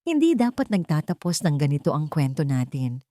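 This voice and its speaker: Woman reading as a calm, determined wealthy man. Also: low voice, a little fast, no echo, clean studio sound. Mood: earnest, regretful. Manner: calm, serious, weighty.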